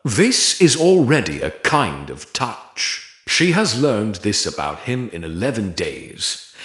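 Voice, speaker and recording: A man narrating a dark romance story like a scripted audiobook, deliberately, with dramatic pauses between short phrases. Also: lowish voice, reverberant.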